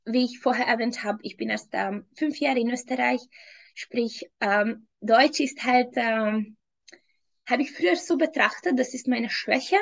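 A woman's voice: on the high side, unhurried, creaky, dull audio. A woman in her thirties from Hungary with a Hungarian accent, answering interview questions over a video call in a hesitant way, with many ums and frequent laughs. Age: 30s. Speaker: interview guest, fitness trainer. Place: home room.

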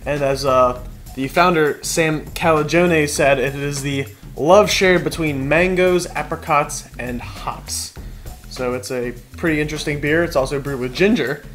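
A young man co-hosting a casual beer tasting, relaxed and jokey, fond of puns. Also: fairly high pitch, measured pace, soft background music. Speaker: show co-host. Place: indoor studio set with wooden backdrop.

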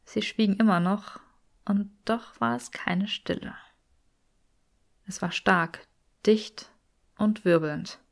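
A woman's voice: mid-range voice, slow, gravelly, long pauses. A woman reading fiction aloud as an audiobook narrator. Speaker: audiobook reader.

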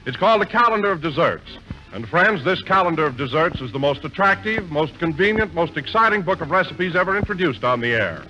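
A man announcing and selling a product in a 1940s radio comedy, hearty and smooth, recorded in a theater. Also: very animated, fairly quick, muffled recording, over music. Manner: hearty, smooth salesman pitch. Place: theater stage with live audience.

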